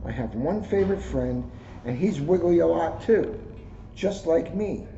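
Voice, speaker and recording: Male read-aloud storyteller, soft-edged mellow tone, recorded in a roomy space with muffled, noisy lo-fi sound. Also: a little high, animated, unhurried. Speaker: police officer reading a children's book aloud. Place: indoors, second floor of a borough hall. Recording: roomy echo, dull lo-fi sound, clear noise.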